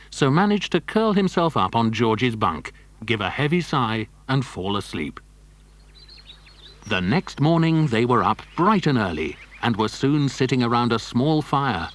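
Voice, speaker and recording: A man's voice: medium pitch, a bit bright, no echo. A man narrating a children's adventure story from a script.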